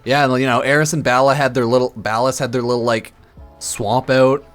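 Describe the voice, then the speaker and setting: A man's voice: mid-range voice, little pitch movement, a little fast, fairly bright tone. A slightly drunk man giving casual, rambling game commentary as a streamer, blunt, profane and opinionated. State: a bit drunk. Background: music under the voice.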